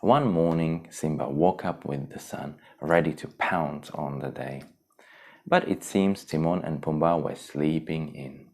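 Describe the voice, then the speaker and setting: A man narrating a children's storybook in a theatrical, expressive way. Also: rumbling low, a little slow. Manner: theatrical, expressive, acts out exclamations.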